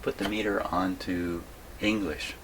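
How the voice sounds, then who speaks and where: Low-voiced man thinking aloud, noisy recording. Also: steady tone, unhurried, a bit bright. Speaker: host of an electronics repair video. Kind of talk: casual hands-on demonstration, thinking aloud. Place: home radio workshop. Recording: clear background noise.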